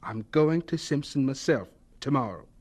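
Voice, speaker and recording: A man's voice: medium pitch, lively intonation, moderate pace, a little mellow, close to an everyday voice. An actor in a radio drama playing a devout, earnest young man who speaks politely and with determination. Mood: determined.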